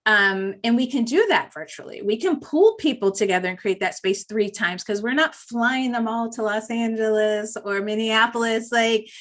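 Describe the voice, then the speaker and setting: A woman speaking as a panelist in a virtual panel discussion, with a loud voice and a loose, thinking-aloud delivery full of fillers. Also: on the high side, moderate pace, hardly any pauses. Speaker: panelist, campaign director.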